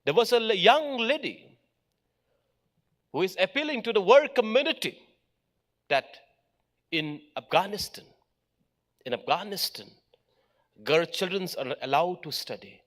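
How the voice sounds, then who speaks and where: Man, middle-aged, formal speech, emphatic short phrases, lively rising and falling voice, slightly high. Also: unhurried, pauses often. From Meghalaya, India. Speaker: official speaker at an education event.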